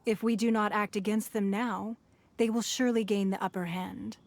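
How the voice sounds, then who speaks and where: A woman playing a poised, shrewd and authoritative noblewoman in an anime video game, speaking in a formal, composed, measured way. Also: medium pitch, fairly even intonation, dry recording, natural voice. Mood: composed, solemn. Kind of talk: anime video game voice acting, expository dialogue. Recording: music behind the voice. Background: game music.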